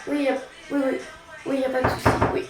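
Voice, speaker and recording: A woman's voice: high-pitched, monotone, fast, reverberant, loud music behind the voice, natural voice. A young-sounding woman playing a casual, earnest teenage daughter, hesitant, in naturalistic audio drama dialogue. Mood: hurried, casual.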